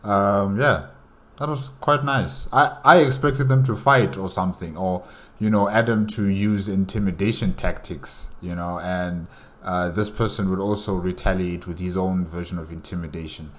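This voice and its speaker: Low-voiced man musing through a reaction. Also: steady tone, moderate pace, fairly bright tone. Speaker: reaction video host. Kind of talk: casual solo reaction commentary.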